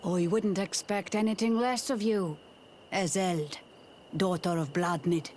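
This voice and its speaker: A stern, resolute clan war leader. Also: male voice, high voice, fairly even intonation, unhurried, a little mellow, a little hiss or hum. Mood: grim resolve. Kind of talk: video game voice acting.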